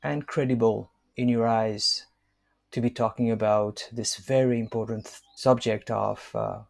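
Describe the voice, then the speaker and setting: A man in his fifties from Nepal, whose first language is Newari, reading his own book aloud in a measured, hesitant way with frequent fillers. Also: mid-range voice, steady tone, vocal fry, a little mellow. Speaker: author and language counselor.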